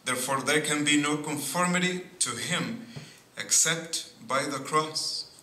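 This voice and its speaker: Slow, bright-toned preaching priest in church. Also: male voice, a little high. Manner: slow, deliberate preaching with pauses and frequent "see". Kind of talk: sermon. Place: church sanctuary at a pulpit. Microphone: boom mic at pulpit. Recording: echoey church with faint noise.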